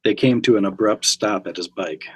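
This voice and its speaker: A man's voice: on the low side, moderate pace, husky. A man reading scripted story narration aloud. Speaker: guest reader.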